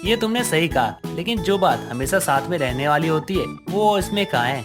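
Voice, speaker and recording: A man's voice: on the high side, expressive, moderate pace, natural voice. A man playing an eager, teasing young husband in a story cartoon. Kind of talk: Hindi story cartoon dialogue. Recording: music under voice.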